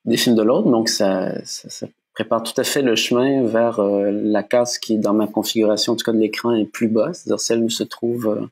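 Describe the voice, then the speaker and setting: Husky, low-voiced man hosting a video panel. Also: steady tone, moderate pace, hardly any pauses. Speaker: host. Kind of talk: panel moderation. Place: video call.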